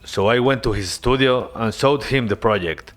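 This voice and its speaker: A man's voice: mid-range voice, normal speed. Man narrating a devlog. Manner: earnest, relaxed storytelling with casual asides. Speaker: indie game developer.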